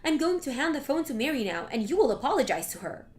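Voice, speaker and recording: A woman voicing an outspoken adult daughter who talks in a sharp, exasperated way. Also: high-pitched, very animated, fast talker. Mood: firm.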